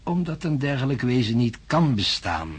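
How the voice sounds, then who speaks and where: An actor playing an impatient, self-assured professor of science in a radio drama, speaking formally and pedantically. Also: male voice, medium pitch, very animated, normal speed, breathy edge. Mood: condescending. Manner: formal, pedantic, emphatic lecturing.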